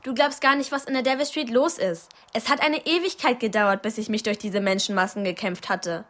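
An actress playing a chatty, excitable young girl in a radio play. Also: on the high side, brisk, close to an everyday voice. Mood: cheerful.

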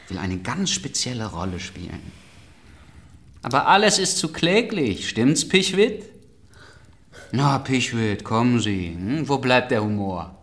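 Bitter, animated ailing aristocrat. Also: male voice, lowish voice, full character voice. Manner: agitated, mocking, theatrical. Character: bitter, sardonic, ailing aristocrat in his forties or older. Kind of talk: German literary radio play acting.

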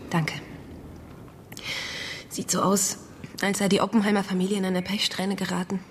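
A woman's voice: medium pitch, level tone, normal speed, a little hiss or hum, lightly acted. An actress playing a polite, earnest and worried woman searching for her brother in a German radio crime drama, composed in manner. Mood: earnest, concerned.

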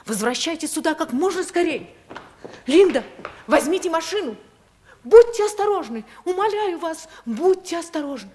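An actress playing a resolute, impassioned upper-class young wife in a theatrical radio play, with deliberate, emotive diction. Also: female voice, very high voice, lively intonation, warm tone, slight background noise. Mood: anxious, urgent. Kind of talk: theatrical Russian radio drama acting.

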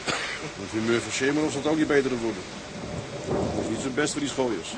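An actor playing a brisk, sober ship's mate in a radio drama, formal and a little dour. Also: male voice, medium pitch, lively intonation, very noisy, natural voice. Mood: grumbling.

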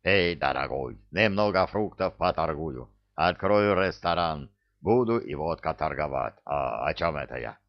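A man's voice: a bass voice, lively intonation, a little slow, exaggerated voice. A man voicing a whining, rambling huckster spirit from a comic fantasy audiobook, with a Georgian accent and broken grammar. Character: hook-nosed Caucasian-style huckster spirit in Native costume, whining. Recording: dull lo-fi audio.

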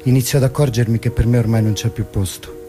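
A man's voice: mid-range voice, fairly even intonation, quick pace, close to an everyday voice. An actor playing an anguished, despairing young man in a poetic dramatic monologue. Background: music.

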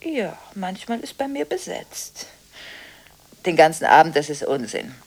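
An actress playing a volatile, contradictory school headmistress in a radio drama, talking restlessly on the phone, breaking off and repeating herself. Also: female voice, sits low, very animated, a little slow, a little mellow, heavily acted. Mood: flustered, defensive.

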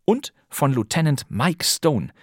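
A man hosting a radio-drama podcast, narrating a script in a lively, chatty way and speaking straight to the listener. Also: on the high side, slow pace, slightly rough, dry recording, clean studio sound. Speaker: podcast host. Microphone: close studio microphone.

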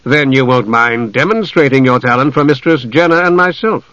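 Dark elf wizard, played by a man: male, soft-spoken menace, measured, icy, fantasy audiobook, close dry sound. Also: mid-range voice, no echo, lo-fi sound, lightly acted. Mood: measured, probing. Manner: quiet, measured, sardonic.

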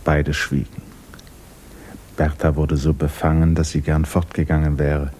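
A man narrating a literary 1960s German radio play in a measured, composed manner. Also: rumbling low, level tone, darkish tone, slight background noise.

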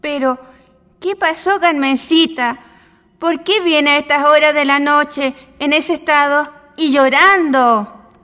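A woman's voice: high voice, fairly even intonation, takes it slowly, pushed and bright, heavily acted. A woman playing a fussing, folksy, motherly aunt in a Chilean radio drama. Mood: alarmed. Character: fussing, motherly aunt, folksy and world-weary about men. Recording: long reverb, music behind.

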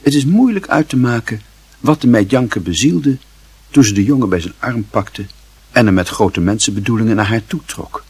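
A man narrating a literary radio play in a measured, formal and wry manner, with a husky voice. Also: medium pitch, lively intonation, a little mellow, no room sound.

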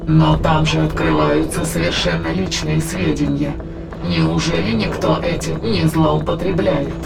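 A man voicing a calm, calculating alien intruder in a science fiction audio drama, formal, measured and probing. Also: fairly high pitch, vocal fry, heavy background noise. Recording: loud music behind.

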